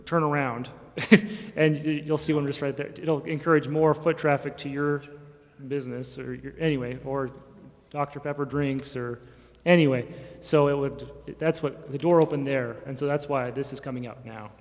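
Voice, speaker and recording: A man's voice: mid-range voice, fairly even intonation, normal speed. Rambling man in a reverberant meeting hall. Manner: rambling, casual, trailing off mid-sentence. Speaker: city staff member. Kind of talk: informal explanation at a public meeting. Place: reverberant council chamber. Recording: hall reverb, faint background noise.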